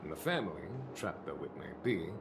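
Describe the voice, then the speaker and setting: An actor playing a courteous, diplomatic military general in a video game, speaking in a measured, eloquent and formal way. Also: male voice, rumbling low, very animated, creaky, noisy background, exaggerated voice. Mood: assured, diplomatic.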